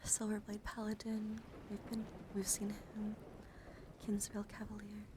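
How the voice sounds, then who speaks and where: Female ASMR stream host, mid-pitched, soft casual commentary slipping into whispery murmurs, close mic over audible background noise. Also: completely flat delivery, unhurried. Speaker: livestream host. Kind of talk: soft-spoken ASMR card show-and-tell.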